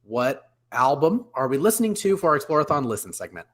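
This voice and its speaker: A middle-aged man hosting a casual live show from his living room on a video call, jokey and a little halting. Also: mid-range voice, big pitch swings, fairly bright tone. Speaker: host. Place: living room at home, indoors.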